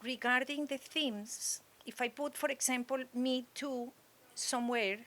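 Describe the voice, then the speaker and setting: Hesitant woman asking, slightly high voice. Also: a little slow, a bit bright. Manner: hesitant, searching for words. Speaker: audience member asking a question.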